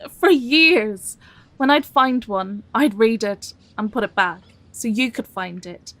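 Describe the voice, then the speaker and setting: An actress playing a devoted but wry, teasing and reluctant grown daughter in an audio drama. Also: female voice, on the high side, takes it slowly, a bit bright, no echo. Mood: gentle.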